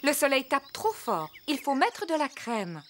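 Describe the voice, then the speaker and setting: Mummy pig in a kids' cartoon, high and patient, over music. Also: female voice, sing-song, a little slow. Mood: caring. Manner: patient, motherly. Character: calm, caring mummy pig.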